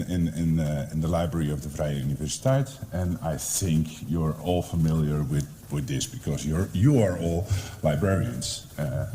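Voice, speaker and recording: Dutch-accented man, very low voice, mellow tone, hesitant fillers, faint room ambience. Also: a little hiss or hum. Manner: hesitant, many fillers and restarts. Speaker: library department head. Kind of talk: conference presentation. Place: conference room.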